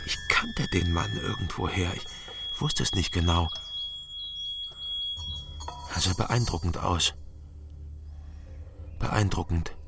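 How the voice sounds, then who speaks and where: A man's voice: low voice, slow, gravelly, long pauses, heavy background noise, heavily acted. An actor playing a wry East German man in a radio crime drama, who tells his own story in terse fragments and talks his way through questioning. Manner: terse, clipped first-person telling in short fragments. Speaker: narrator and protagonist.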